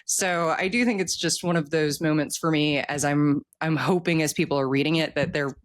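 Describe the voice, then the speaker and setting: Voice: medium pitch, steady tone, moderate pace. A middle-aged author in a casual interview, talking at length in a candid, informal and emphatic way.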